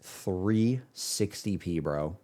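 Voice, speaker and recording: A man's voice: low-pitched, expressive, drawn-out words, warm tone. A man livestreaming in a casual, slangy, repetitive way, talking to his chat and reacting off the cuff. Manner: slangy, repetitive, full of "like", "bro" and "dude". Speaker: streamer.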